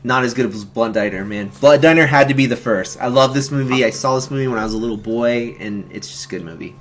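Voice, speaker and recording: A man hosting a casual film commentary stream, talking in a halting, rambling, slangy way. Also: mid-range voice, a bit bright, a little hiss or hum. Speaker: host of a film commentary stream, amateur horror filmmaker.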